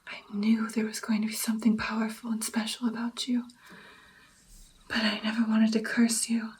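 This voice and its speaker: A woman doing whispered ASMR roleplay as a gentle, caring vampire mentor, with an intimate, breathy delivery. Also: a little high, monotone, moderate pace, slight background noise.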